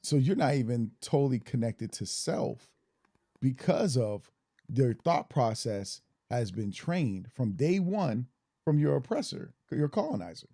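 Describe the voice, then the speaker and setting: A man's voice: medium pitch, very animated, unhurried, gravelly, dark tone, no room sound, studio quality. A man from Germany co-hosting a podcast, speaking in a reflective, storytelling way. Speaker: podcast co-host. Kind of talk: casual discussion.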